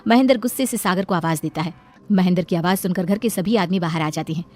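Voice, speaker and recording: A woman's voice: on the low side, fast, darkish tone. A woman reading out a scripted moral story as its narrator.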